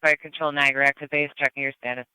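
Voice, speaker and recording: Crisp, bright male fire radio dispatcher. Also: mid-range voice, little pitch movement, very fast, no room sound, rough recording. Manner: clipped, procedural, repeats details. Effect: two-way radio transmission. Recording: dry radio scanner feed.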